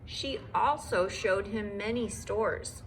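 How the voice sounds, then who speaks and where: A woman teacher reading a children's book aloud in an expressive, deliberate storyteller style for young listeners. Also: mid-range voice, slight background noise, low-quality recording.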